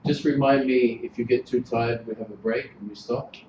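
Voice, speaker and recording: Man from Greece, 40s, casual hotel-trainer delivery, fillers; boomy room, background noise. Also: fairly even intonation, moderate pace. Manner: chatty, informal, filler-heavy. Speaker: hotel general manager giving a workshop. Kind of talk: relaxed presentation to a class. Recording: roomy, noisy room recording.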